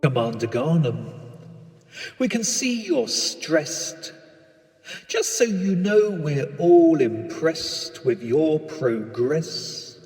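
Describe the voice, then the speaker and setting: A man playing a blandly official, falsely reassuring launch controller, measured, repetitive and deadpan, in a comic spoken-word piece. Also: a little high, big-room sound, exaggerated voice. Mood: soothing, droll. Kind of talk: comic spoken-word radio-style sketch.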